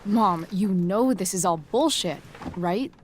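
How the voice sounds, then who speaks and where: A medium-pitched female voice from a story-driven video game, acted by a woman. She is a rebellious teenage student, sarcastic and blunt, talking in loose slang with a defiant edge. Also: slow pace, a little hiss or hum, lightly acted. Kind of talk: naturalistic video game acting.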